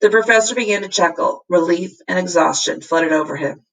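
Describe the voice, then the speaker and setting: A woman's voice: on the low side, brisk, a bit bright, recorded from a distance, low-quality recording. A woman reading a children's story aloud with dramatic expression, as a teacher does for a class. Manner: dramatic, acts out the characters' lines.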